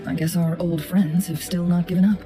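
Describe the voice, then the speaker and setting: Woman acting a composed female game strategist, low voice, measured, analytical, clear music behind. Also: level tone, natural voice. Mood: calm, pensive. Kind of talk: scripted video game dialogue.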